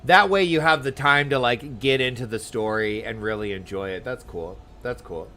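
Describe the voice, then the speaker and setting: A man's voice: medium pitch, normal speed, sharp tone. A man chatting casually while live streaming a game, giving loose commentary as he plays. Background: game music.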